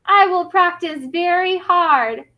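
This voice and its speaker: A woman's voice: very high voice, slow, highs cut off, voice pushed up. A woman voicing a tiny young T. rex from a children's storybook, childlike, earnest and determined, in short careful sentences. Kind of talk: children's storybook read-aloud.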